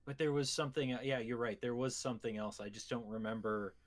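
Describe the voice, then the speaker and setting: A man's voice: medium pitch, quick pace. A man talking casually as a co-host on a gaming show. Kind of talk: casual chat.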